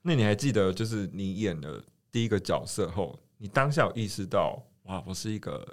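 A man with a Taiwanese accent hosts a relaxed interview. His pitch moves around a lot as he asks questions and reacts playfully. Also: a little fast. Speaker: podcast host, interviewer. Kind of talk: casual, playful interview chat.

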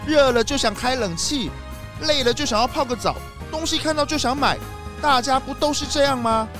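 Woman as a high-voiced, whiny, sly fox thief. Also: normal speed, over music, natural voice. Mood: defiant. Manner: whiny, protesting, dramatic. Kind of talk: children's audio drama acting.

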